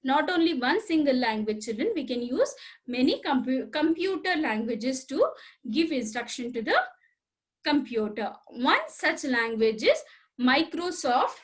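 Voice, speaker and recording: A woman's voice: high-pitched, sing-song, measured pace. A woman teacher giving a computer lesson to children. She repeats key points, asks the class questions and has them say words along with her. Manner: repetitive, addresses children directly, asks questions. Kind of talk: recorded school computer lesson.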